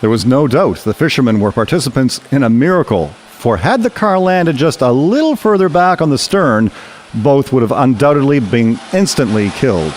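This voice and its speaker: A man's voice: medium pitch, animated, normal speed, music in the background. A man narrating a scripted radio drama as storyteller.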